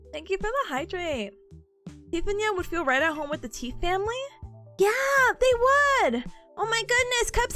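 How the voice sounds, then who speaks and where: A woman's voice: extremely high pitch, bright, forceful tone. A young woman streamer chatting casually with her viewers, bubbly, giggly and playful, reading comments as she goes. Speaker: study streamer.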